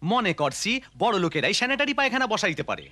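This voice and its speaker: A man's voice: high-pitched, very animated, quick pace, pushed and bright, exaggerated voice. A man playing an agreeable, plain-spoken villager in a children's cartoon, with a Bangladeshi accent. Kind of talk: children's educational cartoon acting.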